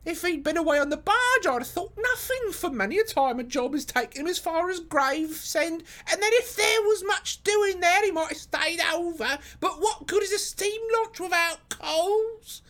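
A storybook working-class housewife, pitched extremely high. She is stout, fretful and talkative, respectful to her betters but full of homely grumbling. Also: lively intonation, normal speed, hardly any pauses, pushed and bright, full character voice. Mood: worried, deferential. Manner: deferential, garrulous, colloquial working-class speech. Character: stoutish red-faced working-class London wife, fretful and chatty.

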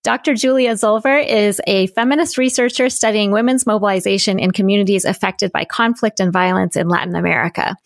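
A woman's voice: mid-range voice, fairly even intonation, fairly quick, few pauses, no room sound, clean studio sound. A woman hosting an interview, introducing her guest in an enthusiastic, friendly way. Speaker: podcast host.

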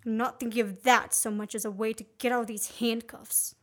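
A woman's voice: fairly high pitch, little pitch movement, a little fast, lightly acted. A young woman playing a sardonic, commanding man on the run in a student radio play. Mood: sarcastic. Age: young adult. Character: fugitive man, sardonic, bossy, wrongly accused.

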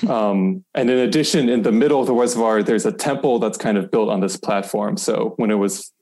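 A man's voice: on the low side, brisk, darkish tone. A man chatting informally as a podcast guest, explaining and reading out reviews with light amusement.

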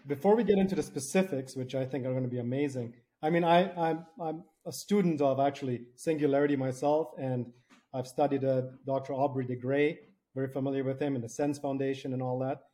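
A man hosting a podcast interview in a conversational way, with halting, rambling questions. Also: mid-range voice, fairly even intonation, dark tone. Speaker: podcast host.

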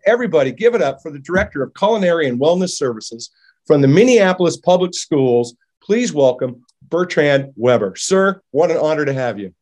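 A man's voice: medium pitch, darkish tone. A man hosting an online talk show, effusive and upbeat as he welcomes and agrees with his guest. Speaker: host. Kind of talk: enthusiastic show host.

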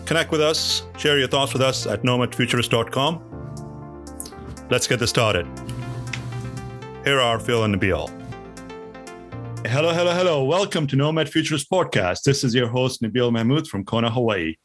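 A man's voice: medium pitch, lively intonation, moderate pace, pauses often, fairly bright tone, soft background music. Upbeat male podcast host. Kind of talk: podcast intro and interviewing.

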